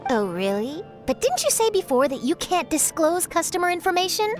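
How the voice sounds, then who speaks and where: A bubbly young reporter in a video game. She is chirpy and eager, with an extremely high voice that swings expressively, over faint music. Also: sing-song, normal speed. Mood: upbeat, curious. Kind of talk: English video game voice acting.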